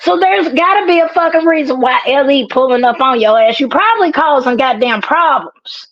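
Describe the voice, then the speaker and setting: Lady on a call, high voice, cussing and scolding, kinda muffled lo-fi sound. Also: animated, normal speed, no echo. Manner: blunt, scolding, heavy swearing. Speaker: guest caller. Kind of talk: casual, heated commentary. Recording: dull lo-fi call audio.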